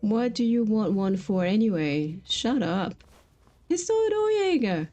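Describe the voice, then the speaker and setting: Mellow-voiced woman chatting on a game stream. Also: mid-range voice, big pitch swings, takes it slowly. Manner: chatty, informal. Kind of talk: casual livestream chat while playing.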